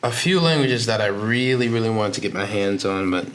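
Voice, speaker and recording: A man's voice: on the low side, expressive, brisk, fairly bright tone, slight background noise. A man hosting a podcast interview, talking in a chatty, enthusiastic way. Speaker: podcast host. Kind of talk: casual interview conversation.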